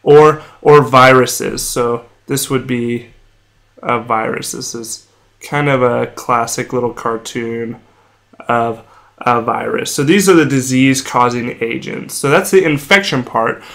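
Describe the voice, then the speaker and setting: A young man with a heavily congested, nasal voice gives a drawn whiteboard lesson, sounding feverish and sore-throated. He explains in a plain, steady way and slows down to spell out each medical term. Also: medium pitch, vocal fry. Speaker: medical educator. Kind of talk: whiteboard lecture while drawing.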